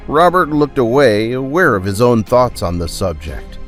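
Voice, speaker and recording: A man narrates a dramatic audio story with a very lively, varied pitch. His scripted storytelling plays over a music bed. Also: normal speed. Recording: music under the voice.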